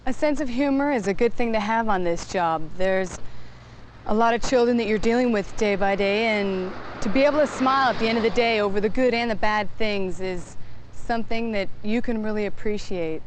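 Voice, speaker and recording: Medium-pitched woman driver, plain delivery. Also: normal speed, rough recording. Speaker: school bus driver. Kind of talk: plain interview answer. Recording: faint vehicle noise behind.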